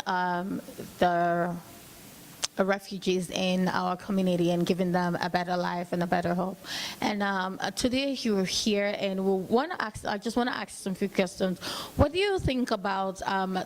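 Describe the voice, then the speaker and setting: Woman TV host asking halting questions. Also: mid-range voice, a little hiss or hum. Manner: friendly, halting questions with fillers. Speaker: TV host interviewing at an event. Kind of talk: casual interview hosting. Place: at a forum in a hotel venue.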